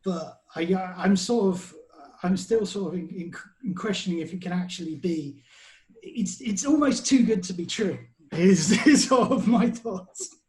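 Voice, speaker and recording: A man's voice: sits high, a little slow, a little mellow. A man hosting a podcast, asking questions in a rambling way and stumbling over his phrasing. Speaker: podcast host.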